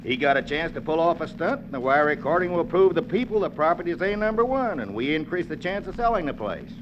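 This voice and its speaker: A man's voice: on the high side, animated, fast talker, muffled recording, background noise, lightly acted. An actor playing a folksy, persuasive male real estate salesman in a 1940s radio drama.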